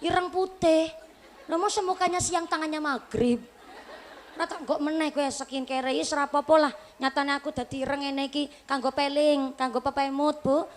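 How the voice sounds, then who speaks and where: A Javanese-accented woman in her late twenties preaching to a live audience, her voice sitting high in pitch. She keeps things light and chatty, weaving jokes into the talk and speaking straight to the crowd. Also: fairly even intonation, normal speed, slight background noise. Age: late 20s. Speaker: Islamic preacher.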